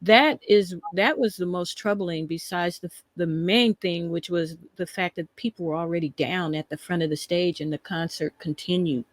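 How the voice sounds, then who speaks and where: Reaction show co-host, medium pitch, emphatic and repetitive, conversational, very dry recording. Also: steady tone, moderate pace, few pauses, no echo.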